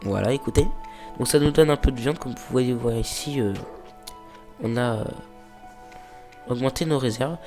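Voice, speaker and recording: A man's voice: medium pitch, normal speed, pauses often, a little mellow, faint music behind. A man with a stuffy nose from a cold, casually commenting on a mobile game he plays, hesitant and full of fillers, sometimes reading the game's dialogue aloud. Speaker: let's play host.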